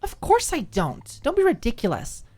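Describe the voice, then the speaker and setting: A woman's voice: sits high, huge pitch swings, normal speed, a little mellow, pitched above the performer's own voice, exaggerated voice. A woman voicing a flustered, haughty and dramatic female college student in an audiobook, with prim, indignant phrasing.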